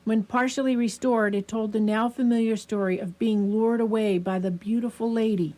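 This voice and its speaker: A woman's voice: a little high, fairly even intonation, normal speed, dark tone, natural voice, poor audio. A woman playing a troubled, skeptical young male asylum doctor in a radio play, formal and earnest. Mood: skeptical, grave. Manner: formal, earnest dramatic delivery.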